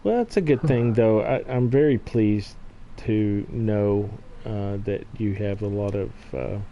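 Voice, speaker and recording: A man's voice: lowish voice, a little slow, lo-fi sound. An American man chatting casually and folksily as he plays a game, joshing with a friend. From United States. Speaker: gaming channel host. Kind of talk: casual chat while playing a farming game. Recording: faint background noise.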